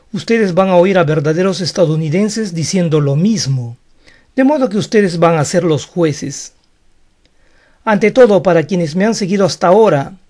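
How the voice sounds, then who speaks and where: A man's voice: high-pitched, a little mellow. A man whose first language is Spanish, giving an online language lesson in a frank, defensive, explanatory manner. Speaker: English teacher.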